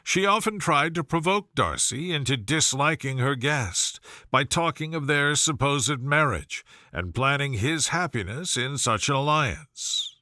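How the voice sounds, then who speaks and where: A man narrating a classic novel as a scripted bedtime story, with measured, formal, expressive phrasing. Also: medium pitch, dry recording, studio quality. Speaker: audiobook narrator.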